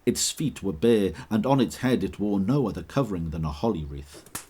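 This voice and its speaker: Deep-voiced male storyteller. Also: animated, warm tone, slight background noise. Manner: measured, vivid storytelling. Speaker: narrator. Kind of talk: scripted storybook narration.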